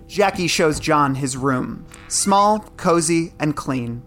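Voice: medium pitch, expressive, takes it slowly, a bit bright, soft background music. A narrator reading scripted scene descriptions for an audio drama in present tense.

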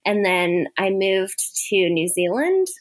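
American female interview guest, chatty and informal, quick to laugh, mid-pitched voice. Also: big pitch swings. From Hawaii, United States. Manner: chatty, laughs often while talking. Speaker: interview guest, author and women's travel entrepreneur. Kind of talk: casual conversational interview.

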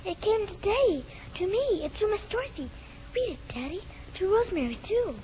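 A woman's voice: very high-pitched, sing-song, moderate pace, mellow. A woman playing an earnest, trusting little girl, childlike and pleading, in a 1950s radio drama. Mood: eager, pleading. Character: earnest, trusting little girl who adores her daddy. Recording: muffled, noisy old radio recording.

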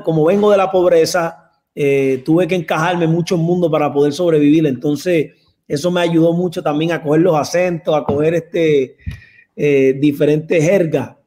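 A man's voice: on the high side, darkish tone. A Puerto Rican man with a Colombian touch, chatting reflectively as an interview guest, with many fillers and restarts. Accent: Puerto Rican Spanish with Colombian influence. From Puerto Rico. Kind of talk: casual interview chat.

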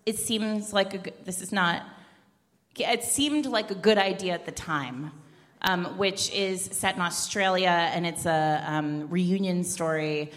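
A woman's voice: on the low side, a little slow. A woman podcast co-host giving casual book recommendations on a live panel. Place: large room with a live audience, indoors. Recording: echoey hall with long reverb.

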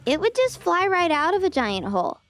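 A woman's voice: very high-pitched, sing-song, fairly quick, fairly bright tone, exaggerated voice. A woman voicing a bold, no-nonsense young girl superhero in a children's adventure story, confident and matter-of-fact. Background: faint music.

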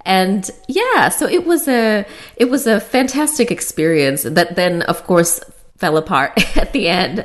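Low-voiced, animated American-accented woman. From born in Sri Lanka, raised in the Philippines and Arkansas. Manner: chatty and self-deprecating, laughs often. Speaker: guest co-host. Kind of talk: casual conversational storytelling.

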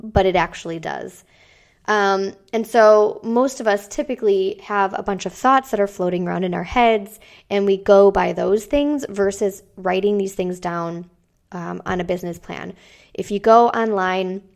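Woman, podcast host, raspy from a cold, gravelly fry, casual chatty delivery. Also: medium pitch, normal speed. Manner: conversational, with frequent ums. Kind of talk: solo business podcast talk.

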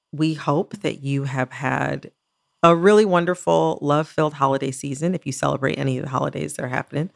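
An American woman with a noticeably creaky voice co-hosts a casual podcast. She talks in a candid, self-aware, wry way, as in a relaxed conversation between colleagues. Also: sits low. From United States. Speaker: podcast co-host.

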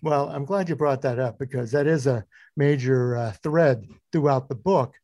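Mellow-voiced male interview host. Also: medium pitch, moderate pace, no echo, clean studio sound. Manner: reflective, personal asides. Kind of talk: conversational interview.

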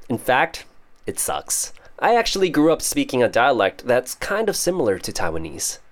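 A young man with a Canadian accent hosting a podcast, casually explaining English idioms with jokes. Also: on the low side. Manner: casual, joking, explains terms. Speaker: podcast host. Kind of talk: scripted podcast monologue teaching English idioms.

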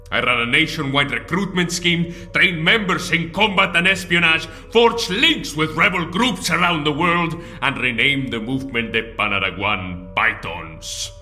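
Grandiose rebel leader, forceful. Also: male voice, a little high. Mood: proud, fervent. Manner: grandiose, insistent, theatrical. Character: zealous, smooth-talking Latin American rebel leader. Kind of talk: audio drama acting.

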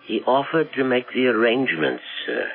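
A rich man's butler in a vintage radio play. He is deferential, formal and uneasily halting, with a husky voice. The recording is narrow and muffled. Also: mid-range voice, sing-song. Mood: formal, subdued. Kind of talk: old-time radio drama acting. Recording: muffled old radio broadcast with music.

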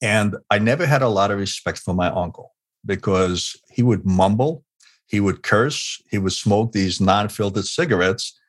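A man's voice: lowish voice, normal speed. A middle-aged man from Hungary, a guest on an interview show, telling a story in a loose conversational way with lots of "you know" and restarts. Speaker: guest, leadership expert.

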